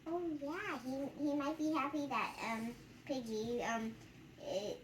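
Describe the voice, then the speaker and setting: Little kid reading a picture book out loud, giggly and chatty, really high voice, echoey room. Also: unhurried. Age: child. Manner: giggly, excitable, acts out characters' lines. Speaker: storytime reader. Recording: roomy, distant mic, background noise.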